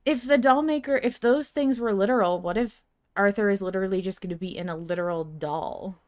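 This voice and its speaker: A woman co-hosting a podcast in casual fan discussion, animated and exclamatory, swearing freely. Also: mid-range voice, brisk. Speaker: podcast co-host.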